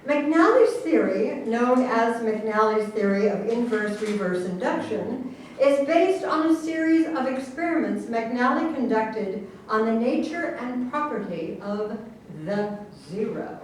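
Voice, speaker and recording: A woman in a staged reading playing an earnest, pompous government official who lectures with deliberate emphasis. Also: fairly high pitch, expressive. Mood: earnest lecturing. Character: government official earnestly explaining absurd secret science. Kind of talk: theatrical staged reading of a satirical play. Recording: very echoey room, distant mic, faint noise.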